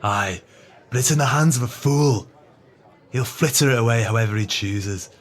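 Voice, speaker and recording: A man's voice: mid-range voice, moderate pace, close to an everyday voice. An actor playing a greedy, sly male swindler in an audio drama, with pompous, wordy, formal speech. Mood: bitter, greedy.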